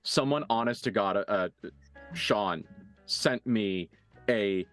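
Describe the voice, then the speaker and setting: Male tabletop roleplayer, lower register, informal joking conversational read with soft music underneath. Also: slow pace. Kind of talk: casual, joking out-of-character chat.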